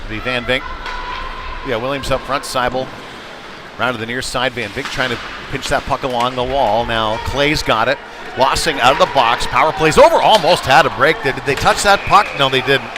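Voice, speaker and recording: A man doing conversational, folksy live play-by-play commentary of a youth hockey game, getting excitable when play heats up. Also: mid-range voice, normal speed, noisy background. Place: indoor ice rink. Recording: live rink stream with music behind. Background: music over rink PA.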